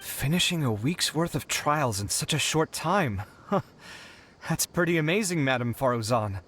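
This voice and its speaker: A man's voice: on the high side, normal speed. A man voicing an earnest, dramatic young genius, kind-hearted and a bit defensive, in an anime-style video game. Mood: earnest, weary. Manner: expressive, emphatic.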